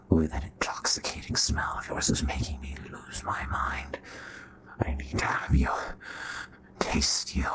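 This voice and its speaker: A seductive, predatory royal vampire, acted by a man for an ASMR roleplay. His voice sits extremely low and often slips into a breathy whisper. He speaks slowly, with courtly charm and a possessive menace. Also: exaggerated voice, poor audio. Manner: slow, hushed, courtly and menacing. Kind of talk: intimate ASMR roleplay acting.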